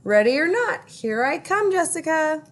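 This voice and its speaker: A woman's voice: sits high, sing-song, moderate pace, pitched above the performer's own voice. A woman voicing a little five-year-old girl who coaxes her imaginary friend. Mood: coaxing.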